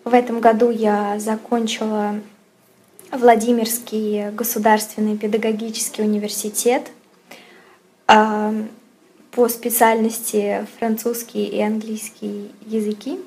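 A woman's voice: medium pitch, level tone, unhurried, a little mellow. A woman in her twenties introducing herself in a hesitant way, with many filler sounds. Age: 20s. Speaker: young teacher introducing herself. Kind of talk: spoken self-introduction.

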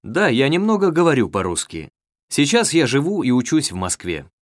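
A young man playing a polite student in a scripted textbook dialogue, reading slowly and clearly. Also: mid-range voice, wildly swooping pitch, dry recording, clean studio sound. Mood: friendly. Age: young adult. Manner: slow, carefully clear reading. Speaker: dialogue actor in a listening test.

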